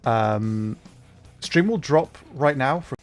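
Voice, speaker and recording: A man's voice: mid-range voice, expressive, slow pace, soft background music. A man chatting casually to viewers on a livestream, talking in a hesitant, flustered way and often interrupting himself. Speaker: livestreamer, VTuber.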